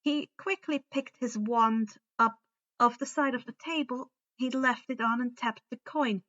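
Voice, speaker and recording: A woman's voice: on the high side, measured pace, fairly bright tone, highs cut off. A woman narrating a story aloud, now and then stumbling and rereading words. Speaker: fan fiction reader. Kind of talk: story narration with character dialogue.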